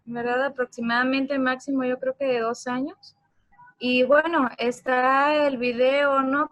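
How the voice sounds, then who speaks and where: A woman's voice: sits high, no room sound, low-quality recording. A woman presenting an informal online lecture, explaining things and often checking for agreement with short tag questions. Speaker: presenter of a parenting talk.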